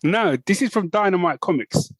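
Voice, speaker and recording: A man's voice: high voice, lively intonation, moderate pace, dry recording. A man co-hosting a podcast, chatting casually and rambling with restarts. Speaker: podcast co-host. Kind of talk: casual chat.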